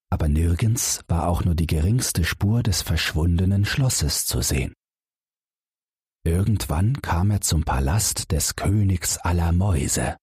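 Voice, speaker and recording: A man calmly reading a fairy tale aloud as a bedtime audiobook narrator. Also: low voice, breathy edge, no room sound, clean studio sound. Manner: calm, expressive storytelling.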